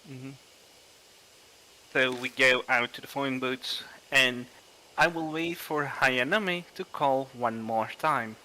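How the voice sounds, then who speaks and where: A man narrating a horror game on a livestream, reading aloud deliberately with pauses. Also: medium pitch, bright, forceful tone, low-quality recording. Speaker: streamer reading a visual novel aloud.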